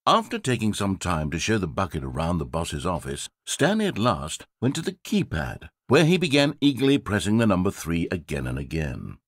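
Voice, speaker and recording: Brief: wry storyteller in a video game, low voice that swoops wildly up and down, bone-dry studio sound. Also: male voice, very animated, moderate pace, hardly any pauses, breathy edge, no room sound, heavily acted. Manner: wry, theatrical, sardonic. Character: sardonic, theatrical male storyteller. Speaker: game narrator. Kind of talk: scripted game narration.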